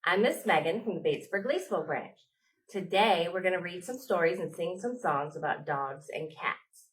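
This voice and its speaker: A woman's voice: fairly low pitch, expressive, moderate pace, recorded from a distance, rough recording. A woman hosting storytime as a children's librarian, reading aloud and talking to small children in simple short phrases.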